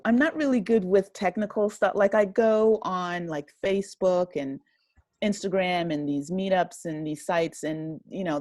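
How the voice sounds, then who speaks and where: A woman's voice: lowish voice, darkish tone, no echo, studio quality. A woman speaking casually as an interview guest, modest and self-deprecating, laughing easily. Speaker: interview guest, audio drama writer and director.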